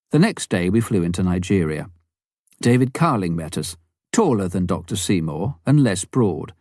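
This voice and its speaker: Male narrator, lower pitch, scripted memoir reading, measured storytelling, careful phrasing, dry studio-like sound. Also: warm tone, no room sound. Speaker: audiobook narrator.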